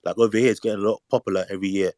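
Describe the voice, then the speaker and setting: A man with a London accent, explaining his view at a measured, steady pace in a casual discussion. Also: fairly low pitch, no room sound, studio quality. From England. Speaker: call-in participant.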